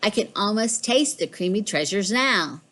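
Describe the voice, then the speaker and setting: A woman's voice: mid-range voice, expressive, moderate pace, a bit bright. A woman voicing a smug, mischievous pet cat in a storybook, with a boastful, emphatic delivery. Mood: haughty. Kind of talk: storybook read-aloud.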